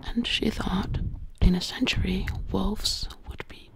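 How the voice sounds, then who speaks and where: Woman whispering as a slow, breathy, sly witch. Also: medium pitch, fairly even intonation, low-quality recording. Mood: eerie, storytelling. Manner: slow, lingering, repeating words. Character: sly, menacing witch who hunts victims for their bodies. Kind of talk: ASMR roleplay, close whispered storytelling. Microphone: close microphone.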